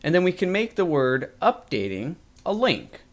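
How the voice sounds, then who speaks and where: Lively-pitched male tutorial narrator. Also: normal speed. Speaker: web design course instructor.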